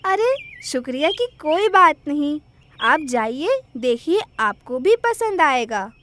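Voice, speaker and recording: Cartoon role: kind young village daughter female actor, very high voice, unhurried, music behind. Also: big pitch swings, no room sound, exaggerated voice. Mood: helpful. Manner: gentle, polite, reassuring. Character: dutiful, kind young village woman, lemon seller. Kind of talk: Hindi moral-story cartoon voice acting.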